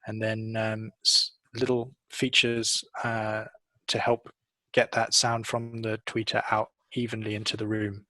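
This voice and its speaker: Low, even-toned man explaining technology. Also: dry recording, poor audio. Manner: hesitant, measured, pausing often. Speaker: audio engineer, interviewee. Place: office showroom, on video call. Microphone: headset.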